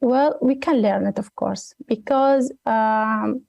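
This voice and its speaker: Emirati woman explaining slowly, mellow and dry. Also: on the high side, gravelly, no room sound. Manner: careful, methodical, many fillers. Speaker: guest, executive coach. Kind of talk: explaining a concept in an interview.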